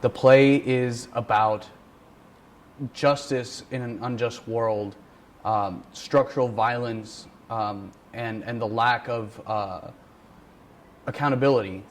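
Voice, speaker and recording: A man speaks in reflective interview answers, pausing to find his words at a middle pitch. Faint noise hangs behind him. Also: steady tone. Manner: thoughtful, pausing with ums. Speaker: student director interviewee.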